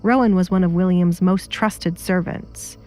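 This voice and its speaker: A woman narrates a scripted story for an audio drama. Her pitch sits a little low, and music plays faintly behind her. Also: fairly even intonation, unhurried, mellow.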